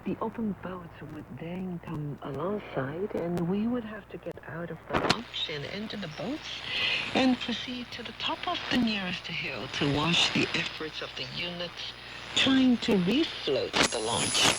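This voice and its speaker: A man's voice: high voice, lively intonation, a little slow, recorded from a distance. A man reading a scripted story aloud on the radio, heard over shortwave. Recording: noisy shortwave radio reception. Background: loud radio static.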